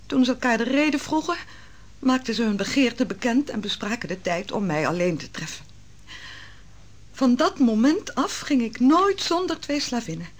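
An actress playing Susanna, a proud noblewoman falsely accused, speaking in a hushed, intense way in a vintage radio drama. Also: mid-range voice, natural voice.

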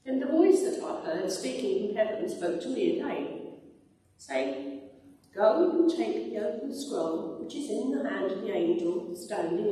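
A woman's voice: medium pitch, dark tone. An older woman reading scripture aloud at a church lectern. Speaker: scripture reader. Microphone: microphone on a boom stand. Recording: very echoey church, distant sound.

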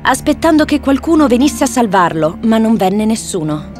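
High-voiced woman narrating fairy tales. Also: big pitch swings, moderate pace, over music. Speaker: storyteller. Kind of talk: scripted children's fairy tale narration.

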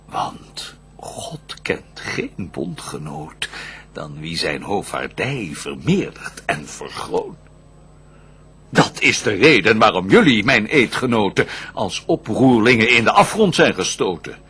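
An actor playing Lucifer, a vengeful, scheming fallen angel, in a theatrical radio play, declaiming in a husky, raspy voice that swings from hushed to roaring. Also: male voice, on the low side, very animated, takes it slowly, full character voice. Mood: commanding. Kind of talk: theatrical radio drama verse monologue.

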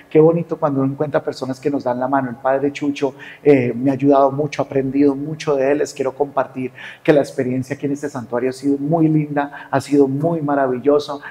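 A priest addresses his congregation with heartfelt gratitude and emotion. His voice sits at an ordinary middle pitch for a man. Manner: warm, emotional, grateful. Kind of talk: heartfelt announcement after Mass.

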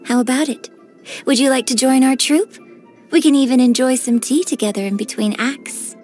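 A woman voicing a graceful, gentle and cheerful young female opera singer in a game, with polite, elegant diction. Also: sits high, normal speed, natural voice. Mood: friendly, eager. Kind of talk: scripted game character voice lines. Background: background music.

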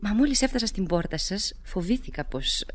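An actress plays an elegant, mysterious lady of the radio theatre. She is coy and teasing, and her theatrical delivery swings widely in pitch as she flirts. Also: expressive, close to an everyday voice. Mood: coy, flirtatious. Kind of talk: Greek radio theatre acting.

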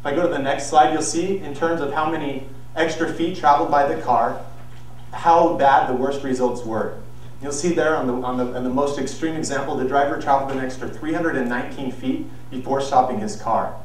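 A man's voice: mid-range voice, steady tone. Young man speaking in an echoey hall. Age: young adult. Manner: earnest, measured, with some restarts. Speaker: student speaker. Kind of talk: persuasive speech. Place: large auditorium stage. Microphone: far camera, no visible mic. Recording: very echoey hall, distant mic, faint noise.

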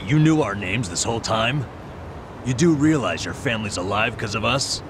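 A man's voice: fairly low pitch, animated, normal speed, background noise. An actor playing a blunt, wary man in a dramatic video game. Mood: indignant.

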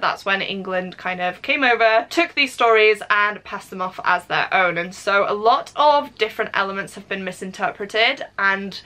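Bright-voiced Welsh woman chatting about books. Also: mid-range voice, normal speed, few pauses. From Wales. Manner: chatty and enthusiastic, reads synopses aloud. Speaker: book vlogger. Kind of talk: casual unboxing chat. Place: home room with full bookshelves.

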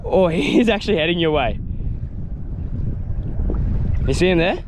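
A young Australian man with a fairly high, very animated voice, reacting out loud as he fishes in mangrove shallows. He laughs often and talks in loose, slangy bursts, recorded outdoors on a camera mic. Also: moderate pace, noisy background. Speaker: fishing vlogger. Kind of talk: casual on-the-spot narration.

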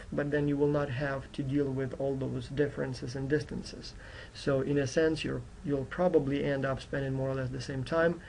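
A man's voice: mid-range voice, vocal fry, a little mellow, slight background noise, poor audio. A man who is a non-native English speaker, giving an informal, explanatory course introduction as a university instructor. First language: not English, which is his fourth language. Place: indoors at a computer.

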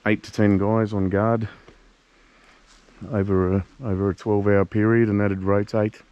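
A man's voice: flat delivery, measured pace. A man, vlogging casually as he explores and drives, thinking aloud and swearing at other drivers. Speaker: travel vlogger. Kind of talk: casual walking and driving vlog. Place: abandoned building, then inside a car. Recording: dull lo-fi camera audio with loud engine rumble.

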